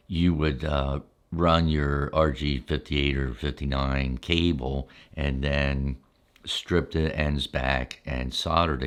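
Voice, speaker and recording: An older man reminiscing in a relaxed, unscripted ASMR style, unhurried and halting, often pausing mid-sentence. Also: very deep voice. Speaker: ASMR storyteller, channel host.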